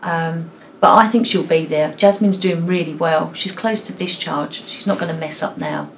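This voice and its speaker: A woman playing a measured, level-headed senior ward nurse in a scripted drama. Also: fairly low pitch, a little hiss or hum, lightly acted. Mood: reassuring, firm.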